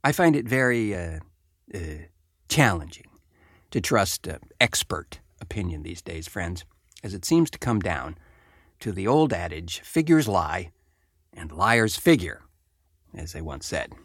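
A man's voice: low voice, sing-song, measured pace, long pauses, husky, dry recording, studio quality. A middle-aged American man hosting a casual comedy podcast, with a wry, wordy, mock-grandiose way of talking. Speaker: podcast host.

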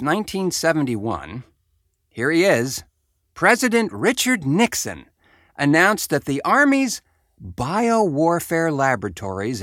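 A man's voice: on the high side, a bit bright, no room sound, studio quality. An American man hosting a show, reading a report aloud with wry, sardonic conversational asides. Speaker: podcast host.

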